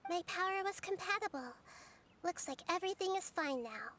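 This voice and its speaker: A woman playing a wise, gentle, childlike nature goddess in a video game, poised, curious and thoughtful. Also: extremely high pitch, expressive, normal speed, exaggerated voice. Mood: confident. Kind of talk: video game character dialogue.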